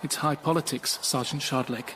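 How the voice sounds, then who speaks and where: An actor playing a firm, secretive, pious Tudor court official in a radio drama. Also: male voice, mid-range voice, fairly even intonation, moderate pace, lightly acted, rough recording. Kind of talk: BBC radio drama acting.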